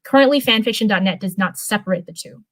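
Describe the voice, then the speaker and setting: Female live-stream reader, mid-pitched, casual story narration with informal, chatty delivery. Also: fast. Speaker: streamer reading fan fiction live.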